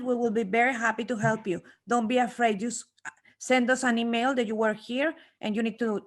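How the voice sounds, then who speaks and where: A woman moderating a round table, speaking spontaneously and haltingly with repeated words. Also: a little high. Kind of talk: moderating a panel, spontaneous speech.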